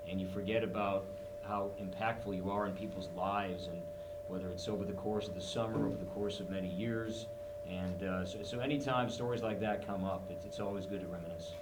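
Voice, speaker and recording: A man's voice: low-pitched, steady tone, a little fast, heavy background noise. A man who works as a radio play-by-play announcer, reminiscing at a press conference and repeating words as he goes. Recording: echoey room with humming.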